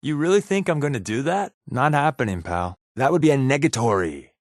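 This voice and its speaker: A man's voice: mid-range voice, animated, brisk, no echo, studio quality, lightly acted. A man voicing a wisecracking, guarded undercover agent companion in a video game, with a wry, sarcastic, flippant delivery.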